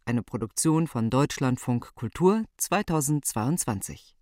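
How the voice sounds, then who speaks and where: A man's voice: mid-range voice, expressive, measured pace, noisy background. A man reading scripted credits as the announcer of a children's radio play.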